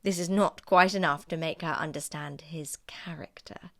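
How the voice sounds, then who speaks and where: A woman's voice: low-pitched, fairly even intonation, moderate pace, no echo, clean studio sound, voice pushed down, lightly acted. A woman voicing a spirited, principled young woman in an audiobook novel, speaking firmly and wryly. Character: Elizabeth, spirited, principled young woman defending her sister. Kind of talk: audiobook character dialogue.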